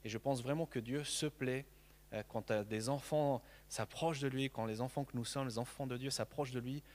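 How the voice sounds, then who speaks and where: A man in his thirties from Mexico, a native Spanish speaker, preaching a sermon in an earnest, halting way with many repeated words. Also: mid-range voice, fairly even intonation, a little mellow, long reverb tail.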